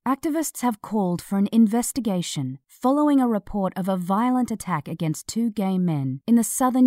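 A woman narrating a scripted news article in a measured, serious manner. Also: medium pitch, mellow, no room sound, studio quality.

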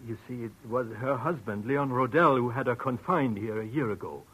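An actor playing an earnest, anxious nursing-home doctor, formal and precise, in a 1940s radio drama with old-recording noise. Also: male voice, mid-range voice, normal speed, fairly bright tone, breathy edge, rough recording. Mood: worried. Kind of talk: 1940s radio detective acting.

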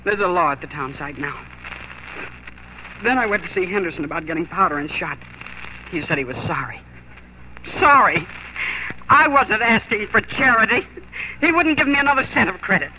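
An actor playing a desperate, defeated young homesteader husband in a 1940s radio drama, agitated and halting. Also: male voice, high-pitched, expressive. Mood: defeated, frustrated. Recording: noisy, dull 1940s radio recording.

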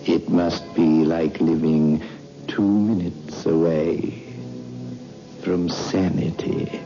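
Very slow, deep, sinister storyteller. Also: male voice, little pitch movement, warm tone, full character voice. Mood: sardonic, ominous. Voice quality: gravelly. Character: sinister, sardonic male horror-show storyteller, ominous and unhurried. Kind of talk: 1960s radio horror narration. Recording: dull old radio recording with music.

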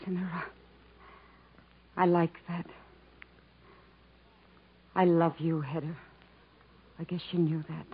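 A soft husky lovelorn lady, hesitant n sincere, old radio play, kinda muffled. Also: lowish voice, level tone, slow pace, pauses often, exaggerated voice. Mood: tender. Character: gentle, wistful woman quietly in love. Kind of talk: 1950s radio drama acting. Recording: dull old 1950s radio recording.

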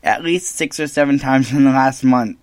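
Fast, blunt male pundit, mid-pitched, dry close-mic sound. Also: no room sound. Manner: quick, blunt critical. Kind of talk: casual sports chat.

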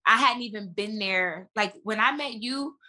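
A woman with a bright, forceful voice speaks as a guest in a casual podcast chat. She is an actress who tells things in a slangy, emphatic way, with plenty of animation. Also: mid-range voice, moderate pace. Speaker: guest, stage and screen actress.

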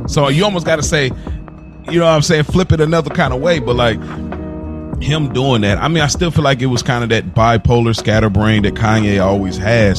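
A man in his thirties hosting a casual podcast, talking in slangy, conversational phrases that he often repeats for emphasis. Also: mid-range voice. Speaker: podcast host.

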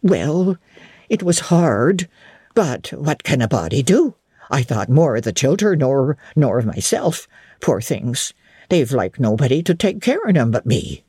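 An old Northern English servant woman from a classic storybook, blunt and homely, speaking in broad dialect. The voice is rough and husky and swings widely in pitch, recorded close and dry. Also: big pitch swings, unhurried, mellow, no room sound, heavily acted. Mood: contrite. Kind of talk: dramatic audiobook reading of a classic novel.

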